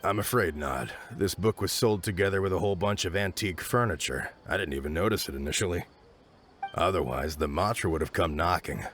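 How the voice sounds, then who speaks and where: A man's voice: sits low, brisk, fairly bright tone, slight background noise. A man voicing an unassuming secondhand seller in a video game, speaking casually and hesitantly. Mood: sheepish, surprised. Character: unassuming, hesitant male secondhand market seller, mild and uncertain.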